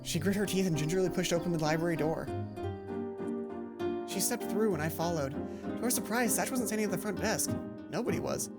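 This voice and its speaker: A woman let's play host reading a visual novel aloud in an expressive storytelling way, with casual asides. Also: fairly low pitch, fairly quick, pauses often. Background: game music.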